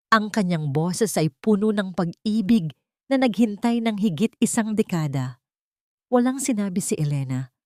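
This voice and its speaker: A woman's voice: low voice, darkish tone, no room sound, studio quality. A woman reading a scripted, dramatic love story as its narrator.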